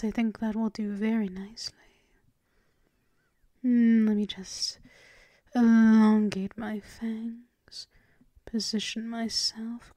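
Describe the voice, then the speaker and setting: A woman voicing a seductive, dominant vampire mistress in a breathy, teasing, mostly whispered ASMR roleplay. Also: on the high side, warm tone, dry recording, studio quality. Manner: breathy, slow, teasing, coaxing. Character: seductive, dominant vampire mistress doting on her pet thrall.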